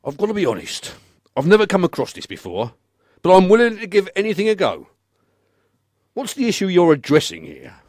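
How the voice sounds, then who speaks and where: A man's voice: high voice, wildly swooping pitch, fairly quick, long pauses, darkish tone, dry recording, clean studio sound, exaggerated voice. Man acting a bemused handyman, swooping intonation. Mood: puzzled, willing. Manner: polite, hesitant, puzzled. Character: obliging, bemused male maintenance worker, eager to please. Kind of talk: short comic radio play acting.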